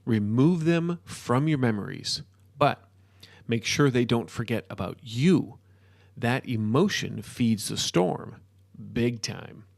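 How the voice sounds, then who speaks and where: A man voicing an obsessive, excitable modern-day wizard in an audiobook story, with animated, emphatic lecturing. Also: medium pitch, slow pace, creaky, dry recording, clean studio sound. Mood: intense lecturing.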